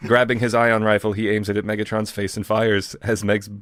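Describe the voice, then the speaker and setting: Low-voiced, deadpan man reading aloud. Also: brisk, no room sound. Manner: dry, deadpan. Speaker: co-host and reader. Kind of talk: reading fan fiction aloud with casual asides.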